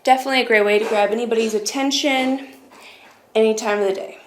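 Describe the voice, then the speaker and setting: A woman's voice: fairly high pitch, fairly even intonation, quick pace, a little hiss or hum. A woman presenting a casual product demonstration, explaining things step by step.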